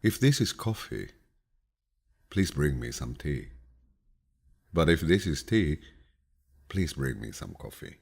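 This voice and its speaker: This man has an exceptionally deep voice with a heavy gravelly creak and a husky grain. He narrates like a calm grandfather telling stories, measured and soothing. His intonation moves expressively as he reads each saying. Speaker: audiobook narrator. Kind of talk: calm, measured audiobook reading of quotations.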